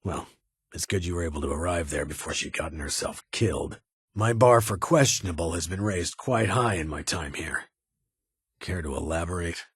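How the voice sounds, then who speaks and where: Stern male army officer, raspy voice, clipped formal delivery, guarded, dry close studio sound, video game dialogue. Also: deep voice, normal speed, dry recording. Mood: wary, measured. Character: stern, guarded army lieutenant colonel, duty-bound man.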